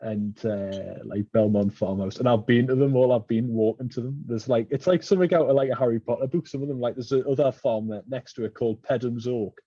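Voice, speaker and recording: A man with a Geordie accent hosts a casual podcast chat, drifting through long local stories with plenty of ums and uhs. His voice is warm and mellow. The sound is close and dry, with a slightly dull, lo-fi edge. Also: mid-range voice, fairly quick, few pauses, dry recording. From Newcastle, England. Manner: rambling storyteller with many fillers. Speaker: podcast host.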